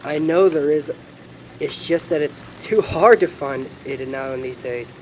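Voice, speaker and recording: A man's voice: a little high, unhurried, a little hiss or hum, natural voice, low-quality recording. A man acting as God, a heartbroken, loving father with a sorrowful, reflective manner in film dialogue. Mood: weary, probing.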